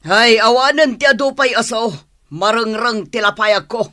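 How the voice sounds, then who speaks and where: A woman's voice: a little high, moderate pace, bright, forceful tone. A woman acting a stern, wounded middle-aged mother in a radio drama, scolding in exasperation. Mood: stern, exasperated.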